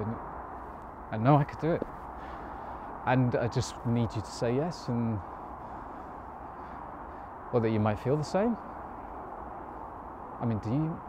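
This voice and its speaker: A man acting a nervous, awkward lover in a naturalistic modern stage monologue, halting, hesitant and earnest. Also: mid-range voice, close to an everyday voice. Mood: pleading, hopeful. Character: nervous, awkward, lovestruck man confessing his love. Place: outdoors, park bench. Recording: noisy outdoor recording with passing vehicles.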